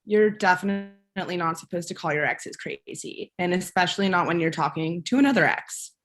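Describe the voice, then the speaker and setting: A woman's voice: lowish voice, fairly quick, fairly bright tone, soft background music, close to an everyday voice. A woman playing a suspicious, blunt ex-girlfriend in her thirties in a table read, questioning in a conversational way. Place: online live stream table read.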